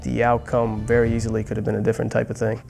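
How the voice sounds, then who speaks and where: A man who works as an air traffic controller, explaining an emergency in a measured interview. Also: mid-range voice, monotone, creaky, a little mellow, soft background music. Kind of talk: interview recounting an event.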